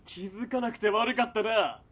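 An actor playing a sadistic, menacing military police villain in a radio drama, sneering and mocking, from quiet threats to barked orders. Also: male voice, high-pitched, normal speed. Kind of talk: Japanese radio drama acting.

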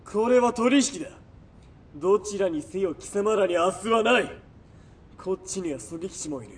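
An actor playing a sadistic, menacing military police villain in a radio drama, sneering and mocking, from quiet threats to barked orders. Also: male voice, high voice, expressive, normal speed, breathy edge. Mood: barking, furious. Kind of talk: Japanese radio drama acting.

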